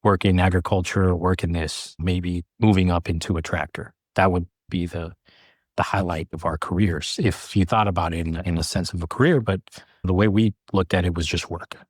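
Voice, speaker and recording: A man in his late thirties with a low-pitched voice recounts his own path as an interview guest. He speaks earnestly, laying out his story in short, measured phrases. The sound is dry, with very little room around the voice. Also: fairly even intonation, no echo. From California, United States. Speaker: air traffic controller, podcast guest. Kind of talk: interview storytelling, personal career account.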